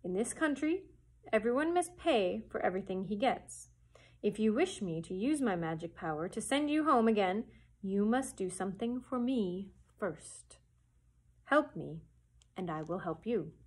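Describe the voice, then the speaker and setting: A woman voicing the great head of a stern male wizard, loud and commanding. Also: mid-range voice, animated, measured pace, breathy edge.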